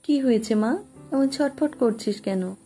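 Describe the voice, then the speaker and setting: Woman playing a mellow, motherly housewife. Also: fairly high pitch, measured pace, breathy edge, soft background music. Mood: worried. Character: middle-aged Bengali household wife, motherly and concerned. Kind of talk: Bengali audio story acting.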